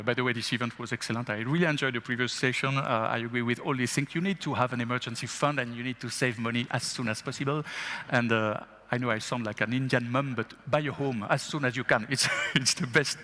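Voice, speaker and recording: Man, finance guest at a live panel, lively and rhetorical, medium pitch, long hall reverb. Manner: animated, fires rhetorical questions, laughs often. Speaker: bank investment chief, panel guest. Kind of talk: on-stage fireside chat interview. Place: event stage in a large hall.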